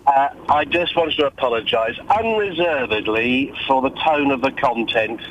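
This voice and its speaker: A man's voice: mid-range voice, animated, normal speed, a bit bright, a little hiss or hum. A man calling into a radio show, nasal from a cold, sheepish and apologetic. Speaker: caller. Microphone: phone line. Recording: dull phone-in line.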